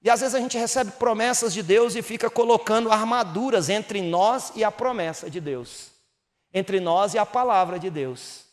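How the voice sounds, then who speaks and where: A Brazilian pastor from Minas Gerais with a Mineiro accent, preaching a sermon that rises to emphatic bursts and drops low again. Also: male voice, normal speed, in a large hall. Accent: Mineiro Brazilian Portuguese. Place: church hall.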